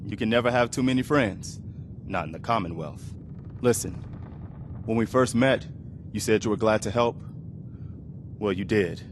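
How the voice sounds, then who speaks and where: An actor playing an earnest militia leader in a video game, sincere and plain-spoken. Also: male voice, fairly low pitch, normal speed, long pauses, background noise, close to an everyday voice. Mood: grateful. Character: earnest, grateful militia leader, adult man.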